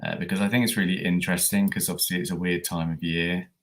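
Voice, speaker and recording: A man with a croaky voice from a coming cold, chatting casually with many fillers as he hosts a reselling livestream. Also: low-pitched, level tone, quick pace. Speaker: eBay reseller livestream host.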